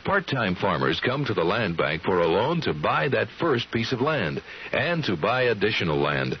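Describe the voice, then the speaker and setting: A man's voice: lowish voice, sing-song, moderate pace. A man reading a scripted radio commercial as an announcer. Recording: muffled, hissy old radio recording.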